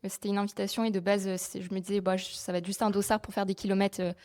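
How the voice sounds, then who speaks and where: A young woman from France, in her twenties, talks about her life as a podcast guest. Her voice sits at an ordinary pitch, and her easy, open delivery is broken by small hesitations. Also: little pitch movement, rapid-fire, dark tone. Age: 20s. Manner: open and friendly, with frequent filler hesitations. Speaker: guest, physiotherapist. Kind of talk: casual interview chat.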